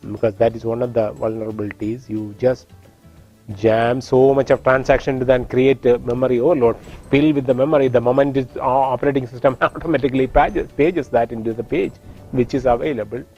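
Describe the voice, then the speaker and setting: A man from India with an Indian accent, teaching a course and explaining technical points with frequent pauses and restarts in a classroom. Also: medium pitch, steady tone, dull audio, soft background music. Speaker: course instructor. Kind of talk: classroom lecture.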